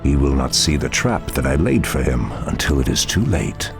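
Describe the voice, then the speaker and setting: A man playing a noble, stern night elf druid leader in a fantasy video game, speaking gravely and formally with a gravelly voice. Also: extremely low pitch, big pitch swings, moderate pace, over music, exaggerated voice. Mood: grim confidence.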